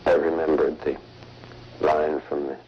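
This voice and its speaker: A man recollecting solemnly, somber and halting, with long pauses. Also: a bass voice, little pitch movement. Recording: dull, noisy archival recording.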